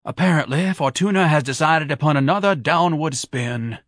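A man's voice: a little high, normal speed, dry recording, studio quality, voice pushed up, natural voice. A man voicing a pompous, lazy grown man who speaks with haughty, elaborate, condescending diction.

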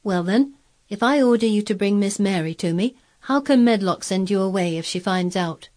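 A woman's voice: medium pitch, animated, moderate pace, a little mellow, voice pushed up, lightly acted. A woman voicing a spoiled, sickly boy of a manor in storybook dialogue, grand and demanding. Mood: grandly imperious. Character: imperious, spoiled, sickly ten-year-old boy of a manor.

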